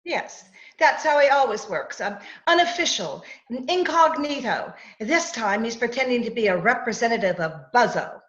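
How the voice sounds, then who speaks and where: Woman acting exasperated high-voiced radio-drama fiancee. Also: lively intonation, normal speed, hardly any pauses, a bit bright. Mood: confiding, insistent. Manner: theatrical, insistent, scolding. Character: haughty, exasperated fiancee of a Latin diplomat. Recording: dull video-call audio.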